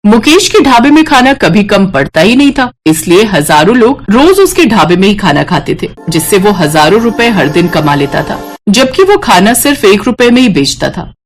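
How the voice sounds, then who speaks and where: A woman storyteller with a medium-pitched voice narrates a moral tale. She reads from a script, telling the story as a narrator. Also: big pitch swings, normal speed, few pauses, soft background music.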